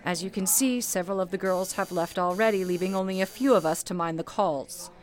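A woman's voice: on the low side, brisk, close to an everyday voice. A woman playing a stern but motherly switchboard supervisor in a 1930s period radio play, formal, firm and soothing. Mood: stern, urgent.

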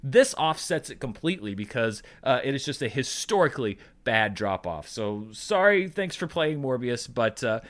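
A man's voice: medium pitch, big pitch swings, normal speed, hardly any pauses, fairly bright tone. A man hosting a show, giving casual, conversational commentary with wry asides. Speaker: podcast host. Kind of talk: casual box office commentary.

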